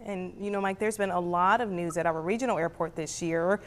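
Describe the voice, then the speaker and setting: A woman's voice: lowish voice, steady tone, a little fast. A woman with an American accent, working as a news anchor and interviewing a guest on a broadcast.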